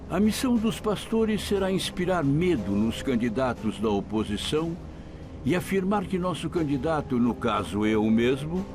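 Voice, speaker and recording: An actor playing a cold, authoritarian general in an audio drama, speaking in a formal, declamatory way. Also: male voice, medium pitch, noisy background. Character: commanding male general plotting a coup, authoritarian, cold.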